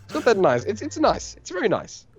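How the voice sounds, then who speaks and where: Animated man from South Africa, playful. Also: high voice, fairly quick, faint music behind. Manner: playful, rambling, makes up words. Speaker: co-host reading chat. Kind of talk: casual gaming stream chat.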